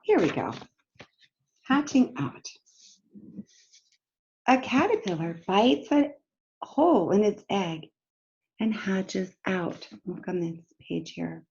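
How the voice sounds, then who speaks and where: Slow-paced woman reading to children. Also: mid-range voice, lively intonation, darkish tone, highs cut off. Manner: simple, instructive, addresses children directly with questions. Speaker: teacher reading a picture book to children. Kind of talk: read-aloud story time lesson for young children, with explanatory asides.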